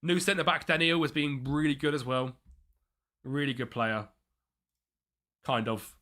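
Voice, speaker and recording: A young man streaming a football management game live, chatting with viewers and thinking his tactics through out loud. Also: a little high. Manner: chatty, thinks aloud, reads out chat messages.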